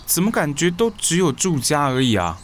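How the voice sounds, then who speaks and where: A man's voice: on the high side, wildly swooping pitch, moderate pace, a little hiss or hum. An actor with a Taiwanese Mandarin accent playing an exasperated young man who mutters and grumbles in colloquial speech in an audio drama. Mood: puzzled, muttering. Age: young adult. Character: exasperated, puzzled young man living alone. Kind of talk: podcast audio drama, talking to himself.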